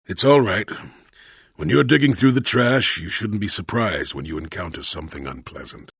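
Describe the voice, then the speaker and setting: Cantankerous old hermit, deep, very husky, sardonic, weary ex-knight, video game character. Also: male voice, expressive, normal speed, a bit bright, no room sound, studio quality, heavily acted. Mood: defensive, sardonic. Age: older. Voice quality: gravelly, husky. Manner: cantankerous, sardonic, rambling storyteller. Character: crotchety old male hermit, former Jedi, wry and weary.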